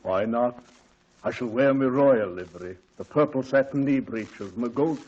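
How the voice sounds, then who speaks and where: An actor playing a pompous, theatrical old stage actor who offers to work as a butler, speaking in a grand, stately way. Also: male voice, mid-range voice, normal speed, darkish tone, breathy edge, natural voice. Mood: grandiose. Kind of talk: 1940s radio acting. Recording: dull old radio broadcast.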